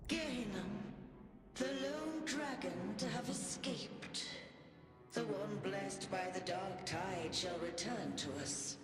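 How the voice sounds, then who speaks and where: An actress playing an imperious, menacing false goddess in a video game, with stately archaic speech. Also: female voice, mid-range voice, slow pace. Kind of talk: dramatic video game voice acting. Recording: echoing reverb under loud music.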